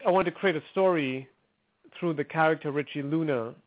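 A man's voice: a little high, brisk. A man, a musician talking casually as a guest in an upbeat, rambling way with many fillers. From Trinidad and Tobago. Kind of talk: casual phone interview. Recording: tinny phone line.

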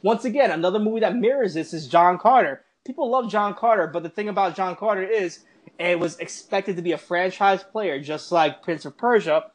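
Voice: mid-range voice, brisk. A podcast host giving a casual film review as an emphatic, repetitive rant peppered with swearing.

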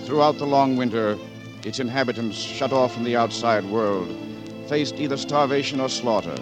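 A man's voice: medium pitch, moderate pace, poor audio. A man giving solemn, grandiose scripted narration in a 1940s radio drama style. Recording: old radio recording, music behind.

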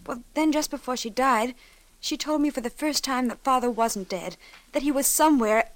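A woman's voice: sits high, normal speed, dry recording, natural voice. An actress playing an earnest, devoted young woman in a 1950s radio drama, imploring and breathless. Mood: anxious hope. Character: earnest, devoted young woman searching for her lost father.

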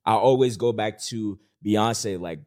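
Low-voiced man giving earnest advice. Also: a little slow, a little mellow, no room sound, studio quality. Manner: earnest, encouraging, filler-heavy with "like". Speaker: podcast co-host. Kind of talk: casual podcast chat.

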